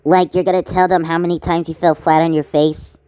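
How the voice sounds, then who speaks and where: Blunt, low-pitched cartoon skeptic Olive by a woman. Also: level tone, fairly quick, warm tone, smooth, no room sound, natural voice. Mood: skeptical, blunt. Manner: blunt, teasing.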